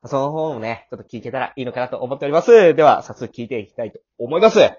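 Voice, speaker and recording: A male host chats casually at a very quick pace. His pitch moves around a lot as he talks. The recording sounds dull and lo-fi. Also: sing-song, darkish tone, breathy edge. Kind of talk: casual hosting of a cast after-talk.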